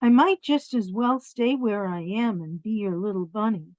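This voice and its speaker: A woman's voice: medium pitch, normal speed, a little mellow, no room sound, lightly acted. A woman voicing a playful, determined little bunny in a children's picture book read-aloud, in simple declarative phrases. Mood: resigned.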